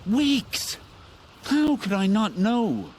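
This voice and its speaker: Distraught middle-aged game father, halting delivery, very animated pitch, faint background noise. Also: full character voice. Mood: anguished. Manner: anguished, halting, emphatic. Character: distraught middle-aged father searching for his missing daughter. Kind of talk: video game character acting.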